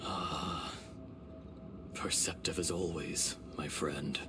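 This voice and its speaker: A man voicing the gentle, resigned remnant of an ancient dragon in a fantasy game, speaking formally and with measured solemnity. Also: low-pitched, fairly even intonation. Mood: weary, fond. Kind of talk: scripted fantasy game voice acting. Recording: loud music behind the voice.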